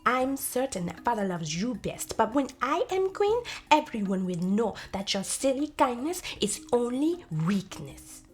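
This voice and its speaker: A woman's voice: on the high side, very animated, measured pace, few pauses, faint music behind, pitched above the performer's own voice. A woman voicing a mean, haughty, bad-tempered young woman in a storybook, imperious and scornful. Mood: resentful, boastful. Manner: imperious, scolding. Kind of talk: storybook character voice.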